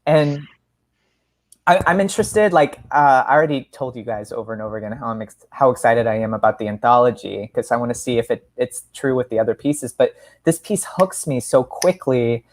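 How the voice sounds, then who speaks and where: A woman's voice: rumbling low, brisk, a little mellow. Female podcast host, informal book talk, hesitant delivery with repeated words. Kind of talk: casual literary discussion.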